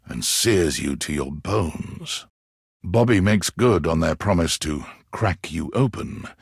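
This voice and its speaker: A man narrates a video game in a low, husky voice. His storytelling is theatrical and measured, with lively swings of intonation as he addresses the player as "you". It is scripted narration throughout. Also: no echo, studio quality.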